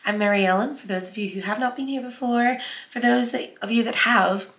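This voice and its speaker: A woman's voice: fairly high pitch, a little fast, fairly bright tone. A woman who has a cold and a sore throat, chatting casually on her sewing vlog. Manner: chatty, conversational, with fillers. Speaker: sewing channel host. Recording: echoey room.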